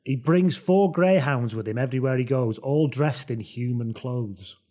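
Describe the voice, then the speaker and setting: A man with a medium-pitched voice tells comic stories as a co-host. His delivery is casual, wry and colloquial, with some swearing. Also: normal speed, mellow. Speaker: podcast co-host.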